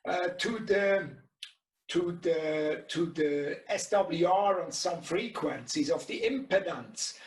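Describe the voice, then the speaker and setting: Middle-aged male tutorial presenter, Swiss German accent, native German speaker, halting and slow, recorded in a reverberant room. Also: fairly high pitch, expressive. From Switzerland. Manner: halting, searching for words in non-native English. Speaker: webinar presenter and radio shop co-owner. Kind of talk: informal product tutorial. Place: small indoor radio workshop. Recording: echoey room, distant mic.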